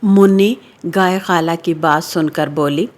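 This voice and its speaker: A woman's voice: lowish voice, fairly even intonation, a little slow, darkish tone. A woman narrating a scripted children's story.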